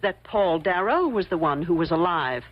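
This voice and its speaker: A woman's voice: lowish voice, expressive, normal speed. An actress playing a shrewd, coolly confident woman investigator in an old-time radio mystery, insistent and pointed. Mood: coolly explaining. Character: shrewd, probing woman investigator laying out her theory. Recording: muffled old radio drama recording.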